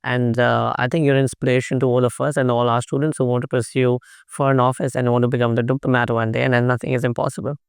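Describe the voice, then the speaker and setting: A man interviews a guest in a halting, formal way, pausing often on "uh". His voice is smooth and clear but stays flat in pitch. The close, dry sound has no room echo. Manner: halting and formal, with many "uh" pauses. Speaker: podcast host, interviewer. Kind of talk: interview questions. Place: campus recording room.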